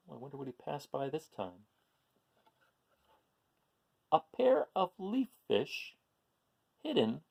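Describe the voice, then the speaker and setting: Gravelly, animated man reading a picture book. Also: fairly high pitch. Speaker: children's book read-aloud host. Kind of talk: expressive storybook reading with casual asides.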